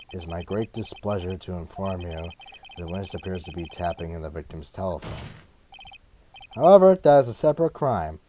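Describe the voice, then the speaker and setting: A man's voice: low voice, dark tone, a little hiss or hum. A man playing a haughty, smug prosecutor. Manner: haughty, formal. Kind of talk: amateur fan dub.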